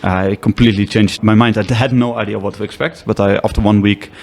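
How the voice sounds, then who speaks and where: A man in his thirties from the Netherlands with a Dutch accent, a company head talking as a podcast guest in a relaxed, self-deprecating way, often restarting his sentences. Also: fairly low pitch, a little fast, vocal fry. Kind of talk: casual podcast conversation.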